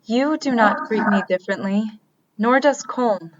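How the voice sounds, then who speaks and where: A woman's voice: fairly high pitch, a little slow, lo-fi sound, lightly acted. A woman playing an artificially created being in an audio drama, earnest and emotionally detached, with formal, literal phrasing. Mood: matter-of-fact, detached.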